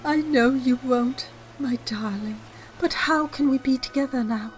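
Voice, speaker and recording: Actress: earnest young heroine, high-ish voice, light music under. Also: female voice, a little slow. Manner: earnest, emotional. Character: grieving young woman, devoted. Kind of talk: old-time radio drama acting.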